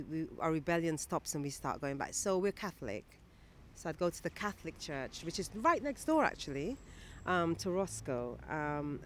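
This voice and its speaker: A woman poet from Guyana speaks. Her answers come slowly and thoughtfully, with fillers and restarts along the way. She was recorded outdoors near a city church, with faint traffic in the background. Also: on the low side. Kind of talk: reflective interview answers.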